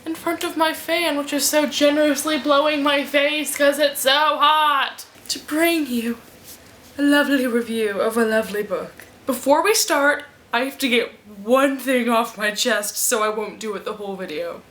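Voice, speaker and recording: Chatty woman vlogger, slightly high voice, rambling book-review talk to camera at home. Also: normal speed, slight background noise. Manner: chatty, rambling, animated asides. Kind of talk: casual vlog review. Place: at home in front of a fan. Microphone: camera mic.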